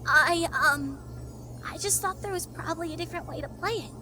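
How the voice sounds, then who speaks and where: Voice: very high-pitched, a little fast, pushed and bright, faint music behind, full character voice. A woman voicing a shy young unicorn filly, hesitant and timid, in a cartoon-style audio drama.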